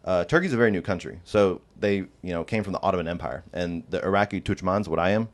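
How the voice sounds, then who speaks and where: Man, 20s, very deep voice, slight southern accent, rambling, casual podcast guest. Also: fast talker. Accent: light Southern American. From Iraq, raised in Georgia, USA. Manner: rambling, many "like" fillers, laughs often.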